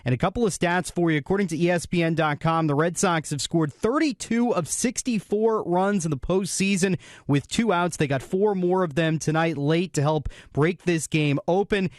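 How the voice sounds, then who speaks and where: A man's voice: fairly high pitch, moderate pace, hardly any pauses, dry recording. A man hosting a sports radio post-game recap from a studio. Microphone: close studio microphone.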